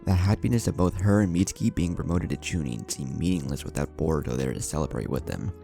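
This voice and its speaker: Very deep-voiced man narrating a story. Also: fast talker, vocal fry, mellow. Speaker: fan fiction narrator. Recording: music under the voice. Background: background music.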